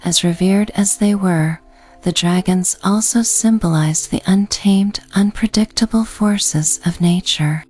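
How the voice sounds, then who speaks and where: A woman narrating a scripted bedtime sleep story in a gentle, calming, meditative way. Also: on the low side. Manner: slow, gentle, calming delivery. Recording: soft music behind the voice. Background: background music.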